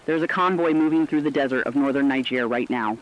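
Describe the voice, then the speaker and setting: Man acting earnest broadcast narrator, mid-pitched, deliberate, scripted human-interest storytelling, radio drama, muffled lo-fi audio. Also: close to an everyday voice. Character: earnest male radio storyteller reporting survivors' deeds. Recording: dull lo-fi radio sound.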